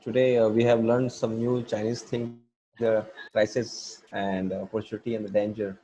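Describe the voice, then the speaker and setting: A man's voice: fairly low pitch, little pitch movement, moderate pace, mellow, slight background noise. A man sharing a reflection on a group video call in plain, somewhat unidiomatic English. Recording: muffled video call audio.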